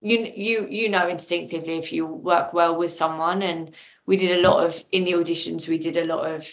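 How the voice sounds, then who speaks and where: A woman's voice: lowish voice, fairly even intonation, a little fast. A woman speaking enthusiastically and casually as a guest in an interview. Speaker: podcast guest, audio drama maker.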